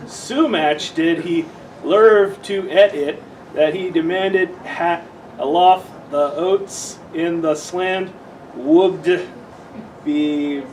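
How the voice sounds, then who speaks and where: A man halting his way through misspelled comic text at a live panel, off mic with some room noise. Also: fairly high pitch, a little mellow, distant mic, poor audio. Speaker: panel reader.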